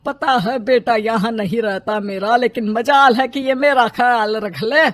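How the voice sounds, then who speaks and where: Woman doing a greedy nagging older mother-in-law, highish voice, cartoon story, always complaining. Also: measured pace, lightly acted. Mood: nagging, scornful. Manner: complaining, accusing. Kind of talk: cartoon moral story acting.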